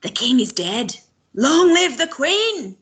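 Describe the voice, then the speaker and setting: A woman voicing a frail, defiant old village crone in a storybook, chanting loudly with effort. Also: sits high, big pitch swings, slow, pitched above the performer's own voice, full character voice. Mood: defiant shouting. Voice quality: scratchy, breaking. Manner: loud, straining proclamation.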